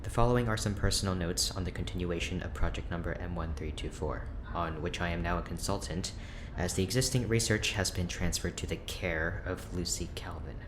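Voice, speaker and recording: A man's voice: deep voice, fairly even intonation, brisk, hardly any pauses, background noise, natural voice. A man playing a stiff, weary, defensive neurologist in an audio drama, speaking formally with some hesitation. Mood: subdued dictation. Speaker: head neurologist at a research station. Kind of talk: naturalistic audio drama acting.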